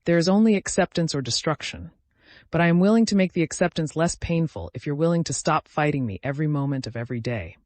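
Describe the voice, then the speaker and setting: Man voicing an ancient cunning devourer, animated pitch. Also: fast talker, no room sound, lightly acted. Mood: grim, insistent. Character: ancient devouring entity, cunning, hungry, bargaining.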